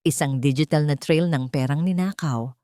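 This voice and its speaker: A woman narrates a dramatic tale in a fairly low voice. The recording is dry and close, with scripted, storybook delivery. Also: normal speed, dark tone, no echo.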